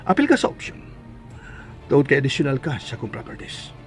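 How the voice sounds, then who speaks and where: An actor playing a smug, possessive hacienda heir in a Filipino radio drama, domineering and full of drawn-out taunts. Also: male voice, mid-range voice, huge pitch swings. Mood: smug, threatening. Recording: music bed behind.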